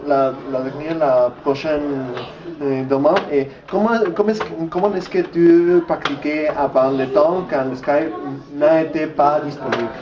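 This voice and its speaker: A man's voice: a little high, fairly even intonation, measured pace. A young man whose first language is Spanish, interviewing a guest in French and often stopping to restart his words. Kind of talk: casual interview in a learned language. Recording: dull distant echoey recording with crowd noise.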